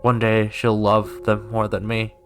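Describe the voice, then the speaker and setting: A man's voice: on the low side, monotone, unhurried, a bit bright, faint music behind. Man voicing a clingy, whiny lovesick woman. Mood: bitter, resigned. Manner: whiny, pleading. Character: clingy, needy, jealous woman pleading for love.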